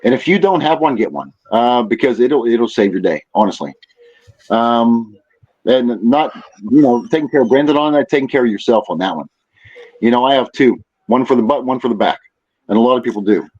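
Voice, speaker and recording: A man speaking as a podcast guest in casual chat, haltingly, often repeating phrases as he thinks. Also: mid-range voice, expressive, darkish tone, highs cut off. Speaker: podcast guest, fishing tournament organizer.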